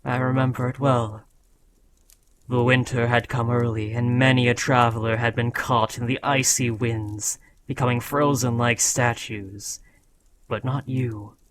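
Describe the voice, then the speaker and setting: A man's voice: medium pitch, flat delivery, a little slow, noisy background, natural voice. A man voicing an ancient, proud dragon who scolds a beloved rider in a solemn, formal, dramatic fantasy roleplay. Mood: solemn, tender.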